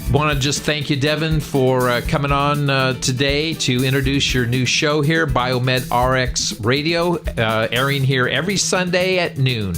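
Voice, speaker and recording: A man's voice: mid-range voice, unhurried, hardly any pauses, music in the background. A man interviewing conversationally on talk radio, often restarting and circling around his phrasing.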